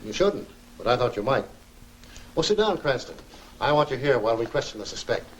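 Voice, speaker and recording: An actor playing a brusque police commissioner in a 1940s film serial, curt and commanding. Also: male voice, mid-range voice, sing-song, brisk, natural voice. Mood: stern, businesslike. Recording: hissy, noisy 1940s film soundtrack.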